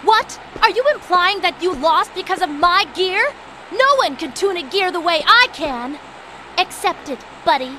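A woman's voice: extremely high pitch, lively intonation, normal speed, noisy background. A woman playing a haughty, sassy young female racer in a video game cutscene, taunting and condescending. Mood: scornful. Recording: loud music behind the voice. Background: loud music.

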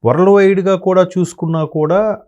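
A man speaking conversational commentary as an analyst guest, hesitant and often pausing to search for words. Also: sits high, fairly even intonation, a little mellow, highs cut off. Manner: hesitant, many fillers and word-searching pauses.

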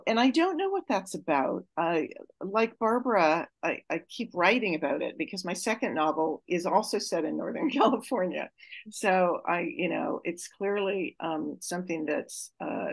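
Halting, thoughtful woman with a gravelly edge. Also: low-pitched, dry recording. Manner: thoughtful, halting with many fillers. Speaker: novelist panelist. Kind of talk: casual panel discussion. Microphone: video call.

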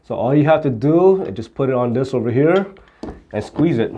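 Bright-voiced man giving repair instruction, slightly muffled. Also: medium pitch, animated, normal speed, husky. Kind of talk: hands-on instructional explanation to camera.

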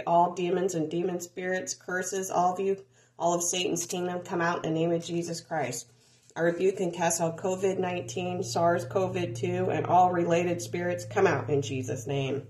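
A woman speaking a deliverance prayer, rebuking sickness in a steady, repetitive, commanding litany while reading from handwritten notes. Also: lowish voice, low-quality recording. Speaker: deliverance minister.

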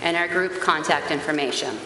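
A woman in her forties with a loud, carrying voice, reading a prepared statement at a podium microphone in a town meeting chamber. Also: low-pitched, fairly quick. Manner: earnest, steady reading with occasional hesitant fillers. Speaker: parent group facilitator at a public meeting. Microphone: gooseneck podium microphone. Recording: echoey hall, faint background hiss.

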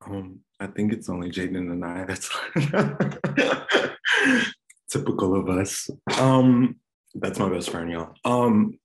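A man with a very low voice introduces himself on a video call, easygoing and grateful, with a light laugh now and then. He speaks plainly and casually. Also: lively intonation, slow pace, low-quality recording. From Miami, Florida. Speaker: roundtable participant.

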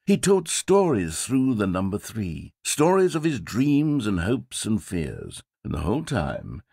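A wry, theatrical game narrator. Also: male voice, fairly low pitch, wildly swooping pitch, unhurried, vocal fry, darkish tone, husky, no room sound, clean studio sound, full character voice. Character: sardonic, theatrical male storyteller.

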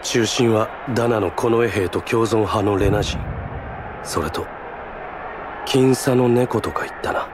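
A man playing a composed, resolute young noble lord in a Japanese video game, speaking in a formal, measured, solemn way. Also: fairly low pitch, background noise, lightly acted. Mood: calm, resolved.

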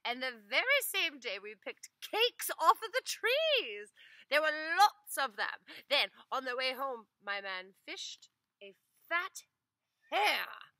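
A woman voicing an excitable, gossiping peasant wife who exclaims in a high, loud voice. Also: extremely slow, dry recording, heavily acted. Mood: eager insistent. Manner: shrill, breathless exclamations.

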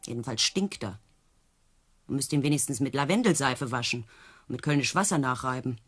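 Sarcastic circus trick rider, low husky voice, needling, disillusioned, radio drama. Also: female voice, expressive, brisk, dry recording, heavily acted. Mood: mocking. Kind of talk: radio play dialogue.

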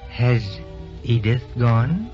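An actor playing a devoted, elderly husband, feeble and forgetful, in vintage radio drama style. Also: male voice, medium pitch, big pitch swings, drawn-out words. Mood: drowsy, confiding. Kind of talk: 1930s radio drama acting. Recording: dull vintage radio recording with music.

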